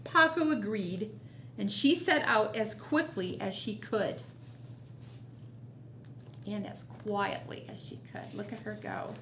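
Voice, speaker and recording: A woman's voice: medium pitch, expressive, takes it slowly, pauses often, fairly bright tone. A woman reading a picture book aloud to children as a storytime reader, expressively and with chatty asides. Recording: roomy echo, noticeable background noise.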